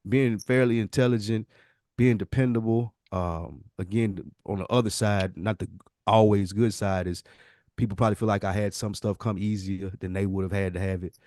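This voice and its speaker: A man's voice: on the low side, steady tone, normal speed, a little mellow, no room sound. A man co-hosting a podcast, chatting casually in a slangy, conversational way. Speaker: podcast co-host. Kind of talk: casual chat.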